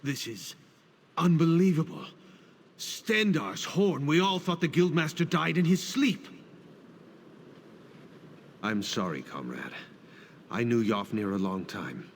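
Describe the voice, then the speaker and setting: Earnest, solemn warrior guildsman, animated pitch, fantasy game, over clear background noise. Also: takes it slowly, pauses often, exaggerated voice. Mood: troubled, solemn. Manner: solemn, dramatic, emphatic. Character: earnest, devout warrior guildsman, shaken but resolute. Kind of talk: fantasy video game acting.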